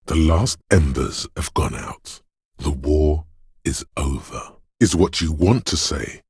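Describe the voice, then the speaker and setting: An actor voicing a video game character, a paranoid, aggressive inner voice of fight-or-flight instinct, speaking in an ominous, raspy, urging way. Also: male voice, lively intonation, darkish tone, no room sound, studio quality, exaggerated voice. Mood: tense, watchful. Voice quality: very deep, gravelly, raspy. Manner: slow, deliberate, ominous urging.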